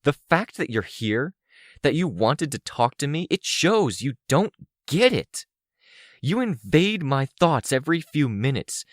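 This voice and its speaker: A man's voice: on the high side, normal speed, hardly any pauses, no echo, clean studio sound, pitched above the performer's own voice, natural voice. A man voicing a wounded, furious young heroine in an audiobook, with bitter, emphatic, clipped phrasing. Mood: angry, hurt. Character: wounded, furious young woman, intense first-person heroine.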